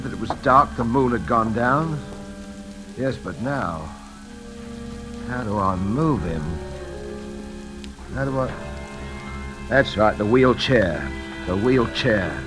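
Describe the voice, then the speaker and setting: Anxious gentleman hiding a past, over music. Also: medium pitch, animated, takes it slowly, pauses often, rough recording. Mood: panicked, scheming. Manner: agitated, muttering, repeating himself. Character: anxious, respectable gentleman with a secret convict past. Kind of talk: old-time radio drama acting. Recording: vintage radio drama recording.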